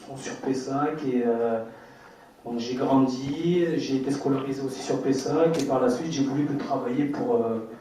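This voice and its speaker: A man's voice: medium pitch, normal speed, mellow, slight background noise. A man in his twenties from France, speaking earnestly at a public debate, hesitant and full of fillers and restarts. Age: 20s. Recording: echoey hall, distant mic, dull sound.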